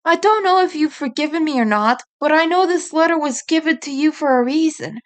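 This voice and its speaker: A woman voicing a caring female rabbit's farewell letter in an audio story, earnest, gentle and apologetic. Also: high-pitched, expressive, brisk, voice pushed up. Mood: tender, earnest.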